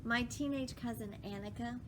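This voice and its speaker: A woman reading a children's story aloud with emphatic phrasing, pausing to put questions to listeners. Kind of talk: expressive children's read-aloud with asides to listeners. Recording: clearly noisy with low rumble.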